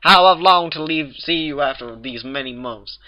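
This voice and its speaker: A man's voice: fairly high pitch, normal speed, highs cut off, pitched above the performer's own voice, lightly acted. A man voicing a melodramatic young female farm pony, gushing and lovesick, in a cartoon fan story. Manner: gushing, overwrought.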